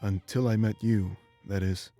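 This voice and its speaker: An ancient immortal warrior from a video game, played by a man, weary and full of remorse. His low voice delivers a solemn, measured confession with a bitter edge. Faint music runs underneath. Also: steady tone, dark tone, close to an everyday voice. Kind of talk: dramatic game voice acting, confessional monologue.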